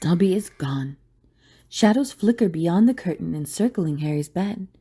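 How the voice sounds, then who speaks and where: A woman's voice: lowish voice, animated, moderate pace, warm tone, slightly rough. A woman reading scripted, dramatic story narration for an audio drama.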